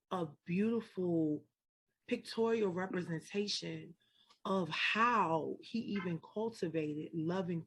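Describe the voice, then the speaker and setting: A woman's voice: lowish voice, husky. A woman hosting a live-stream interview, encouraging her guest and speaking to the viewers. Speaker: interview host.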